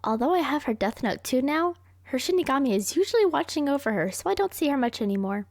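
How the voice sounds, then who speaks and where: A woman's voice: high voice, sing-song, quick pace, no room sound, studio quality, pitched above the performer's own voice. A woman playing a smug, brilliant, manipulative young serial killer girlfriend in an anime roleplay, boastful and coaxing. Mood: sweetly manipulative. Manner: boastful, coaxing, self-satisfied.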